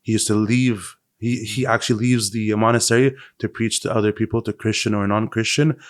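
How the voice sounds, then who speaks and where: Low-voiced man hosting a conversational podcast. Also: steady tone, a little fast, no echo, clean studio sound. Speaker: podcast host.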